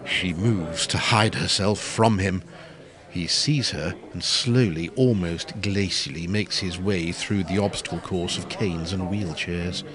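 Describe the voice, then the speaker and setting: A man's voice: low voice, unhurried, a crowd in the background. A man narrating a comic story in a wry, scripted style.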